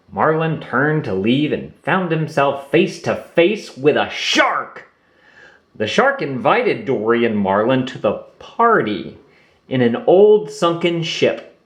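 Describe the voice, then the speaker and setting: A man reading a children's storybook aloud in an expressive storytelling manner. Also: a little high. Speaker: storybook reader. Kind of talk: children's read-aloud narration. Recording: roomy echo.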